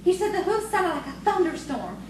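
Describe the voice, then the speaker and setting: Woman acting as coy young country girl, high swoopy voice, echoey and far from the mic. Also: sing-song, brisk. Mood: earnest, suspenseful. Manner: coy, earnest, storytelling. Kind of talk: audio theatre acting. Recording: distant, very echoey, noisy stage recording.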